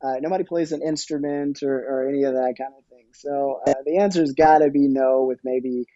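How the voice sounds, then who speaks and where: Middle-aged male interview guest, mellow and reflective, halting delivery, dry and slightly dull sound. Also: mid-range voice, fairly even intonation, no echo. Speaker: podcast guest. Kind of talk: conversational interview.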